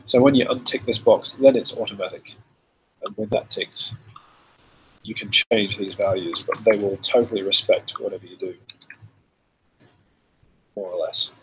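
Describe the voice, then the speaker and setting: A man with a cold and a slightly rough voice, hosting a casual live demo and answering viewer questions in a relaxed, chatty way. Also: fairly low pitch, normal speed, long pauses, slight background noise, rough recording. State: has a cold, voice a bit rough. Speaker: software developer hosting a live stream.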